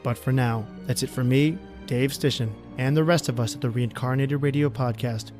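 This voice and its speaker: A man narrating a horror story as podcast host, with theatrical, deliberate phrasing. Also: medium pitch, fairly even intonation, a little mellow, faint music behind.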